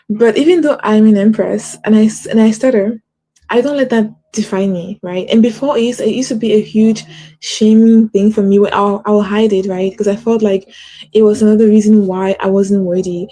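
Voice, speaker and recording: A woman's voice: medium pitch, mellow. A woman hosting a casual motivational talk, earnest and encouraging, with a stutter and many fillers like "like". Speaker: host.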